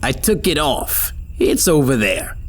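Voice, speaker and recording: A man's voice: medium pitch, sing-song, measured pace, a little hiss or hum. A man playing a wry robot inventor with a flippant, showman-like manner in a sci-fi audio drama. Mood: proud, playful. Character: chirpy, wry male robot inventor showing off his work.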